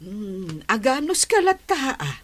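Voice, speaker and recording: A woman with an Ilocano accent playing a concerned neighbour who gives gentle advice in a radio drama. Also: fairly high pitch, very animated, slow, full character voice. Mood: concerned, advising.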